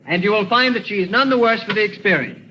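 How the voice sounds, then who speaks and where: An actor in a vintage radio drama playing a gentle middle-aged inventor who talks in a polite, halting manner. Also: male voice, high-pitched. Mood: showman. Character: gentle middle-aged male inventor, showman turned grieving widower. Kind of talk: 1940s radio acting. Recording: hissy, muffled old radio broadcast.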